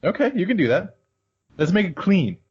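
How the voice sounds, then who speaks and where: A man's voice: high-pitched, sing-song, normal speed, husky. A man chatting casually as a player in a tabletop roleplaying game, describing his moves, on a dull recording.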